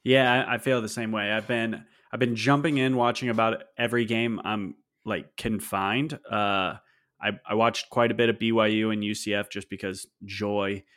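A man's voice: on the low side, steady tone, moderate pace, a bit bright. A man hosting a casual sports podcast, opinionated and wry, talking with plenty of fillers. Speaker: podcast host.

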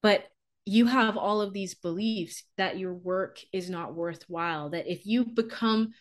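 A woman's voice: mid-range voice, measured pace. Earnest, reflective woman from the Bahamas. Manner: earnest, reflective, laughs easily. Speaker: business coach. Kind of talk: podcast interview guest.

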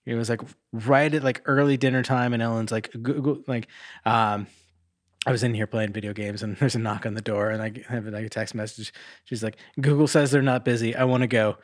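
A man's voice: mid-range voice, fairly even intonation, fairly quick, no echo. Rambling man chatting on a dry mic. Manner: rambling, casually profane. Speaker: podcast co-host. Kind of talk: casual chat.

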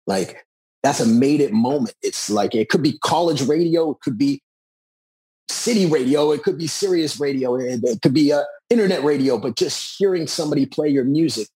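Husky man in his forties. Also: fairly high pitch, lively intonation, moderate pace, dark tone, poor audio. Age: 40s. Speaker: musician and author being interviewed. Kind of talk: conversational interview answers.

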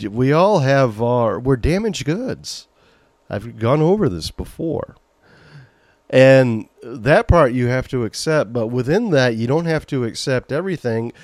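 A man's voice: mid-range voice, darkish tone, no echo. A middle-aged man with a Maine accent hosting a podcast, chatting loosely and rambling as he reacts to listener questions. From Maine, USA. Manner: chatty, rambling, reads listener messages aloud. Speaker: podcast host. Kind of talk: casual solo podcast talk.

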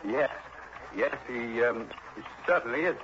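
An actor playing an earnest, fretful insurance executive in a 1960s radio drama, wordy and hesitant, on a muffled, noisy old recording. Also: male voice, medium pitch, a little slow, natural voice. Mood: fretful, explaining. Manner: hesitant, wordy, emphatic repetition.

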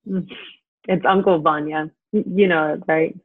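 An actress playing a gentle, wistful woman, speaking softly and earnestly in a measured, intimate, naturalistic drama style. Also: deep voice, fairly even intonation, dry recording. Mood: wry, gentle. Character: gentle, wistful actress talking to an old friend by phone. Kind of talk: intimate naturalistic audio drama acting and monologue. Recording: tinny, band-limited phone line.

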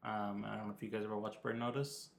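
A man's voice: lowish voice, level tone, fast, gravelly. A young man co-hosting a casual podcast while sick with a cold, talking in a meandering, hesitant way with many ums. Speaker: podcast co-host. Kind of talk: casual chat. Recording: echoey room.

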